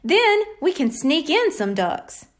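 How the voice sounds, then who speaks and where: A woman voicing an eager, scheming six-year-old boy with a pleading, excitable manner in a children's storybook. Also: high voice, takes it slowly, voice pushed up, heavily acted. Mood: stubborn, scheming.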